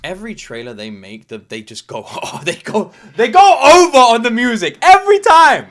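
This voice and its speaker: A man's voice: very high-pitched, wildly swooping pitch, moderate pace, a bit bright. A man hosting a gaming reaction video, thinking aloud in an informal, enthusiastic way. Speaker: host of a gaming reaction channel. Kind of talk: casual off-the-cuff reaction commentary. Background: game trailer music.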